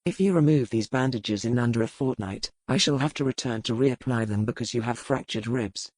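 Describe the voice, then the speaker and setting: Woman voicing a deep, commanding pirate captain. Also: brisk, a little mellow, slightly rough, no room sound. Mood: firm. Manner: stern, formal, sardonic. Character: gruff, commanding male pirate captain, roguishly protective.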